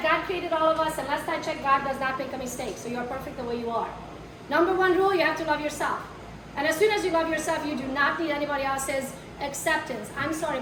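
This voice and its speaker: A woman with an accent teaches a live workshop for realtors. She speaks bluntly, swears now and then, and keeps asking the room questions. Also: high-pitched. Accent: foreign. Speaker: real estate marketing trainer. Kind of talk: live workshop talk to an audience. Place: training room with a live audience. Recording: echoey room, mic far off, noisy.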